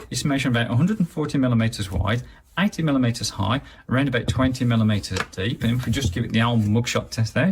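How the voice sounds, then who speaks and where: A man talks briskly through a hands-on product review in a chatty, matter-of-fact way. His voice has a creaky edge, and there is light noise behind him. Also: mid-range voice. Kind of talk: casual hands-on gadget review.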